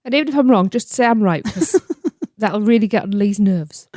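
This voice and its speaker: A woman's voice: mid-range voice, lively intonation, moderate pace, dark tone, no echo, studio quality. Cheerful woman co-host. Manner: cheerful, playful, laughs easily. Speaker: podcast co-host. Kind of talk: casual podcast chat.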